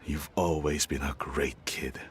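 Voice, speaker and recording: An actor playing a reserved, proud father who talks in a gentle, halting way with awkward chuckles. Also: extremely low pitch, steady tone, a little hiss or hum. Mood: tender. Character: gruff older father, reserved but proud. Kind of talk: video game voice acting.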